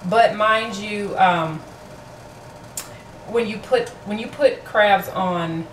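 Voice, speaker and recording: A woman's voice: medium pitch, slow, fairly bright tone, slight background noise, low-quality recording. Chatty woman cooking in her kitchen. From Florida. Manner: chatty, self-deprecating, many fillers. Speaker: vlogger. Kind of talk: casual cooking vlog. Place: home kitchen.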